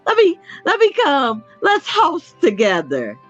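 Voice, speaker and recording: A woman co-hosting a livestream as an intuitive medium, talking loudly and full of energy. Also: high-pitched, measured pace, soft background music. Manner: loud and energetic. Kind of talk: casual livestream chat. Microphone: computer or phone mic on a video call. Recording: dull lo-fi video call audio.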